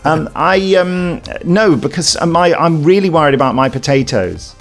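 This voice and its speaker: A man's voice: on the high side, animated, moderate pace, creaky, faint music behind. A British man co-hosting a podcast, chatting casually with a dry, playful humour. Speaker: podcast co-host. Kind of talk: casual comic chat.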